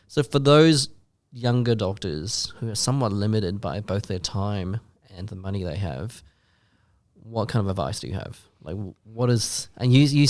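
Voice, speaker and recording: Low-voiced man around forty, chatty co-host. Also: no echo. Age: 40s. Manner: rambling, self-deprecating, asks long questions. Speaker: podcast co-host. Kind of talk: casual chat.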